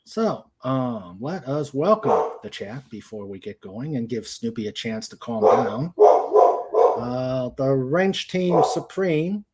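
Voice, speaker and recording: A man hosting a casual livestream chat, speaking haltingly as he searches for his words. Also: medium pitch, lively intonation, a little mellow. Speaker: host. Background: dog noises and clicks.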